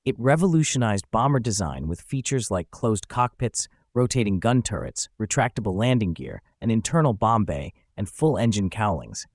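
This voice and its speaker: Man, low voice, documentary narration, dry close sound. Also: normal speed, hardly any pauses, no room sound. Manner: even, factual reading of specs and dates.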